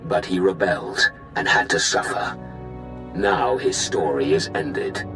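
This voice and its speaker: A haughty, menacing dark commander in a video game. His very low voice is measured and grandiose, set over music. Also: wildly swooping pitch, gravelly, full character voice. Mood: dark, solemn.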